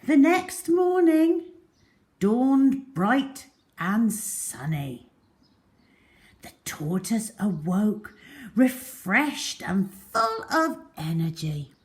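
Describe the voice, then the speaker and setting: A woman narrating a storybook for young children in an expressive, theatrical, deliberate way, letting phrase endings drop to a hush. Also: fairly high pitch.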